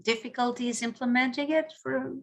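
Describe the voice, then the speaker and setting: Formal, halting woman moderator. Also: sits high, fairly even intonation, creaky, slight background noise. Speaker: panel moderator, academic. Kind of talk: panel moderation.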